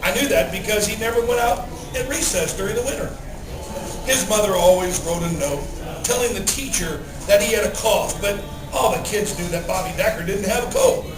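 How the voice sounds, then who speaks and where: A man's voice: sits high, lively intonation, fairly quick. A man telling a Christmas story as Santa in a dramatic read-aloud style. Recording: roomy, distant mic, music underneath. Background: music.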